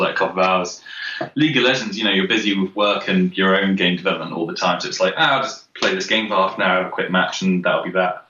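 A man's voice: low voice, sharp tone, roomy sound, highs cut off. A man in his twenties chatting casually as a podcast guest, rambling with fillers and restarts. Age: 20s. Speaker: podcast guest, game developer. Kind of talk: casual chat.

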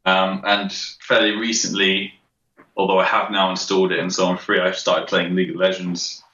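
Deep-voiced man in his twenties. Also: normal speed, echoey room, muffled recording. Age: 20s. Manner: relaxed, rambling, frequent fillers and restarts. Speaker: podcast guest, game developer. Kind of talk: casual chat.

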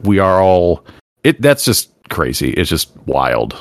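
A man's voice: deep voice, big pitch swings, measured pace, no room sound, studio quality. A man in his forties chatting in a laid-back, amused way. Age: 40s. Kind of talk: casual chat.

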